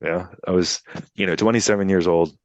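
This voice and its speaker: Very deep-voiced man in his fifties. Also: fairly even intonation, fairly quick, dry recording, highs cut off. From United States. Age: 50s. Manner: rambling, filler-heavy, frequent "you know" and "right?". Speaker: interview guest, attorney. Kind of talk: casual storytelling interview. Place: Poland, remote interview. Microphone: video call.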